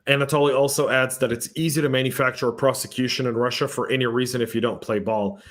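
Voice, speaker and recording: A man hosting a podcast panel, telling lively stories and reading out viewer comments in a casual, chatty way. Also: medium pitch, fast. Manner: animated storyteller, acts out exchanges. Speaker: podcast host.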